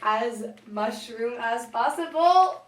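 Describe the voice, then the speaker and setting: A young woman tells corny jokes in an unhurried, slightly high voice, giggling and teasing her friend. She is recorded from a distant camera in a roomy, echoing space. Faint noise runs underneath. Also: expressive. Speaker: puppeteer telling jokes. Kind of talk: casual joke swapping. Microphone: far camera.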